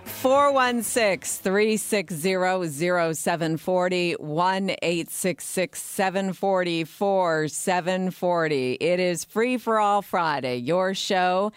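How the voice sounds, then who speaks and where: A woman's voice: lowish voice, slow, few pauses, clear, resonant tone, dry recording, faint music behind. A woman with a Canadian accent presenting a radio phone-in as its host. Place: radio studio.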